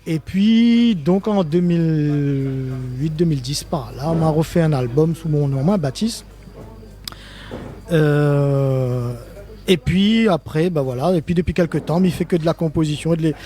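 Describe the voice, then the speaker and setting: Réunion-accented man, mellow voice. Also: a little high. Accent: Réunion Creole-inflected French. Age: older. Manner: rambling, laughs often, many restarts. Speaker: musician guest. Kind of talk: casual live interview.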